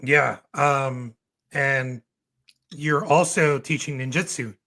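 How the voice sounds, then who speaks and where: A hoarse man hosting a casual podcast interview, talking in a relaxed, laid-back way with many ums and uhs. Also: medium pitch, slow. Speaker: podcast host.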